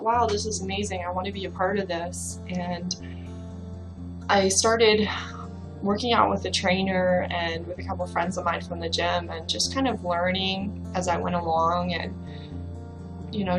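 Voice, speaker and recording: A young woman from the Bahamas who works as a fitness trainer tells her own story straight to the camera, easygoing and reflective, leaning on plenty of fillers. Her voice sits at a middle height, and clear music plays under her. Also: medium pitch, poor audio. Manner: casual and reflective, with many fillers.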